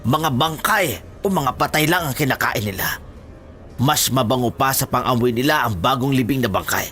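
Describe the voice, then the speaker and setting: A man's voice: mid-range voice, fairly bright tone. A man with a Filipino accent playing a knowing village mentor giving grave warnings in a horror audio story. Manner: grave, cautioning. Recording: faint music behind the voice.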